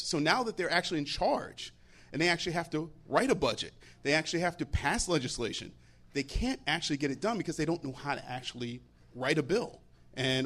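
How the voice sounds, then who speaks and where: A man's voice: a little high, a bit bright. A man giving conversational political commentary as a panelist, emphatic and repeating key words.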